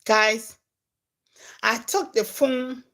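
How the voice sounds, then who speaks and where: A woman with a Liberian accent tells a story to her followers. Her voice sits a bit high and swings from loud bursts to hushed asides. She talks in a casual, animated way. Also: very slow. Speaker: comedian and content creator.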